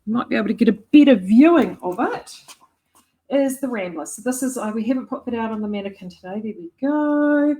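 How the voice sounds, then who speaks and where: A woman's voice: on the high side, lively intonation, brisk, mellow, breathy edge. A middle-aged woman with a New Zealand accent chatting casually to camera from her yarn shop, enthusiastic and full of fillers and restarts. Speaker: yarn shop owner and knitting vlogger.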